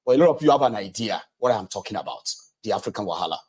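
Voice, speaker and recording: A man's voice: fairly low pitch, animated, racing, darkish tone, breathy edge. Nigerian man hosting a call-in show. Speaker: host of a political call-in show. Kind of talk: casual live phone-in talk.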